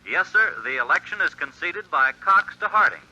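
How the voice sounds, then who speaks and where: A hurried 1920s radio announcer, brisk excited bulletins, bright sharp voice, muffled old broadcast. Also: male voice, sits high, heavily acted. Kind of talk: 1940s radio acting. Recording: dull lo-fi 1940s radio recording.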